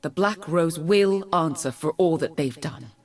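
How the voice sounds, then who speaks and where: An actress voicing a regal, resolute young noblewoman mage in a video game, with a proud, measured, dramatic delivery. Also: deep voice, faint music behind. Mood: solemn resolve.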